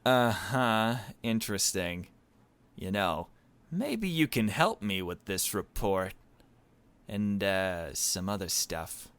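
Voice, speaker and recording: A man voicing a brisk, curious, self-interested young woman who is an amateur reporter in a visual novel. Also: fairly low pitch, animated, a bit bright, clean tone, exaggerated voice.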